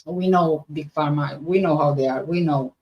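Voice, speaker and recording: Low-pitched Romanian woman chatting on a livestream. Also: moderate pace, highs cut off. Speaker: livestream host. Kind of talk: casual livestream chat, answering viewer comments.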